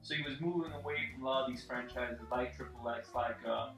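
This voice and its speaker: A man's voice: medium pitch, steady tone, brisk, gravelly, a bit bright, far from the mic. A man chatting casually about films as a panelist, joking and swearing freely. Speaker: panelist on a film review. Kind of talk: casual chat, opinionated film talk. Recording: echoey room, off mic, music behind.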